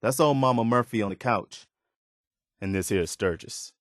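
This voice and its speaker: A man's voice: on the low side, moderate pace, dry recording, studio quality, natural voice. A man playing an earnest, weary militia leader in a video game, speaking in a sincere, plain-spoken, conversational way. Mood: weary, somber. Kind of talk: video game dialogue acting.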